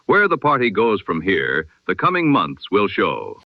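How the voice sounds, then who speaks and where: A man reading a scripted news bulletin as a 1920s radio announcer, in a solemn, formal, measured oratorical manner. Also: on the low side, huge pitch swings, dry recording, phone-quality sound.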